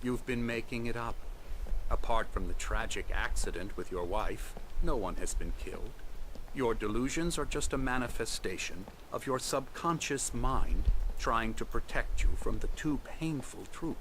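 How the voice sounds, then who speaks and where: An actor playing a middle-aged psychiatrist in a video game cutscene, measured, condescending and outwardly reassuring. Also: male voice, medium pitch, lively intonation, noisy background, lightly acted. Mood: insistent, persuasive. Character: smooth, condescending male psychiatrist running a clinic.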